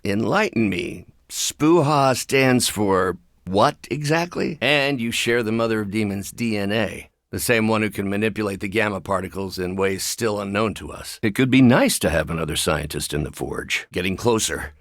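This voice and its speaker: A man voicing a mild-mannered, brilliant but troubled middle-aged scientist for a video game, speaking in a measured, articulate, wry way. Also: on the low side, dry recording, clean studio sound, lightly acted. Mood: curious, wry.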